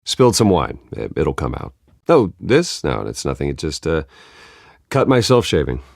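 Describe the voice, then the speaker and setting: Smooth rich philanthropist in a video game, measured and polite, gravelly, pitch moves around a lot. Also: male voice, very animated, no room sound, studio quality, full character voice. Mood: guarded. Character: reserved wealthy man, smooth charming philanthropist host.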